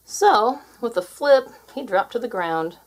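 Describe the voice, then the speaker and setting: A woman reading a children's story aloud in an expressive style. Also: on the high side, a little slow. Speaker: storybook reader.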